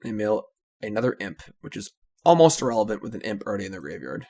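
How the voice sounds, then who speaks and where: A man casually commentating his own card game, analytical and relaxed, often trailing off with "so". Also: lowish voice, fast talker, gravelly.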